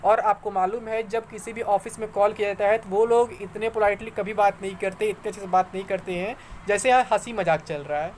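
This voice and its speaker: A man's voice: high voice, fairly even intonation, a little fast, hardly any pauses, a little hiss or hum. Argumentative man explaining to viewers. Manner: conversational, argumentative, repeats points for emphasis. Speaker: exam news YouTuber. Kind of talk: informal explanatory commentary to viewers.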